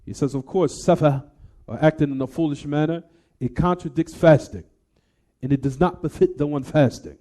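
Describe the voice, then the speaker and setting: A man's voice: on the high side, moderate pace, mellow. A man giving a religious lecture, explaining a text in a didactic way and posing rhetorical questions to his listeners.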